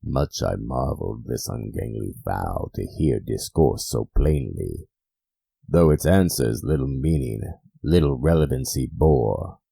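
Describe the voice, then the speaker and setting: A man with a gravelly voice giving a theatrical, brooding recitation of gothic poetry as an actor. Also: rumbling low, lively intonation, dark tone, dry recording. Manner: theatrical, brooding, deliberate phrasing. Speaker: actor reading a poem aloud.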